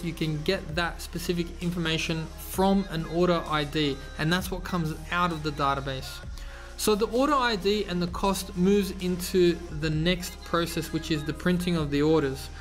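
High-voiced man explaining a tutorial. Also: moderate pace, faint music behind. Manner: relaxed, explanatory, frequent fillers like "you know". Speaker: tutorial host. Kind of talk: screen-recorded tutorial narration. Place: voice-over over slides.

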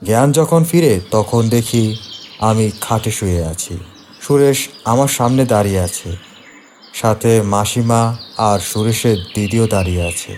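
A man narrating a scripted first-person horror story for an animated cartoon, in a dramatic storytelling manner. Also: on the low side, moderate pace, a little hiss or hum.

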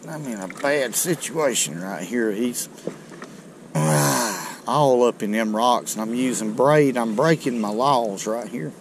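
A middle-aged man, chatting to viewers in a folksy, excited way while fishing from a riverbank, recorded on a chest-mounted camera outdoors. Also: mid-range voice, slow, background noise. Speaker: fishing video host. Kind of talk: casual outdoor commentary. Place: outdoors on a rocky riverbank below a dam. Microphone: chest-mounted action camera.

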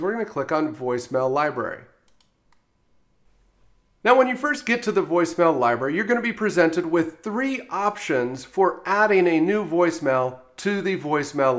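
Higher-voiced man narrating a software tutorial. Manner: explanatory, step-by-step. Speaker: software trainer. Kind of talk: instructional screencast narration.

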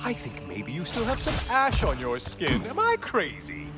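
An actor playing a sardonic, mocking god's talking head in a video game, speaking in a sassy, needling way. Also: male voice, high-pitched, huge pitch swings, normal speed. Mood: sneering. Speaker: game character. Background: game music.

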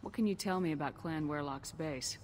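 A woman's voice: low-pitched, fairly quick, darkish tone, a little hiss or hum, lightly acted. A woman playing a cool, authoritative human military commander in a video game, direct and dry. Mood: businesslike. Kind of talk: video game character dialogue.

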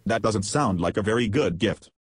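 Evasive interview chatbot, played by a man: lowish male voice, childlike bluntness, dry close sound. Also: moderate pace, breathy edge, no room sound. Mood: blunt. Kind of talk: reenacted text-chat interview.

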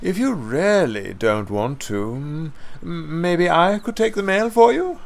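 A man's voice: medium pitch, sing-song, normal speed, creaky, voice pushed up. A man voicing a friendly, cheerful, slightly sly female diesel engine in a children's story.

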